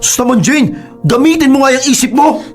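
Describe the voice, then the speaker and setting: A man's voice: extremely high pitch, animated, a little fast, darkish tone, soft background music. A man with a Filipino accent acting a callous, taunting, dismissive boyfriend in a radio drama. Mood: scornful, irritated. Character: callous, mocking boyfriend, dismissive of his girlfriend.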